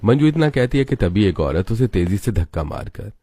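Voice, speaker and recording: A man's voice: fairly low pitch, sing-song, normal speed, mellow, slightly rough, faint music behind. A man narrating a scripted cartoon story as its storyteller.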